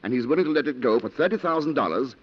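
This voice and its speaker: An actor playing an eager, trusting husband in a 1940s radio drama, stammering and repeating his words excitedly. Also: mid-range voice, racing, natural voice. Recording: muffled 1940s radio broadcast.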